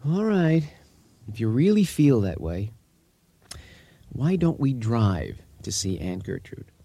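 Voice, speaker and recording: An actor playing a skeptical but obliging husband in a radio drama, conversational with an impatient edge. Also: male voice, mid-range voice, big pitch swings, slow, vocal fry, warm tone, exaggerated voice.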